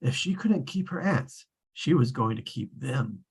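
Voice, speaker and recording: A man plays an omniscient storybook narrator in a script reading. The delivery is wry and scripted, in a very husky voice of middling male pitch. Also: lively intonation, a little slow, heavily acted. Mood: wry, measured. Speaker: narrator at a table read.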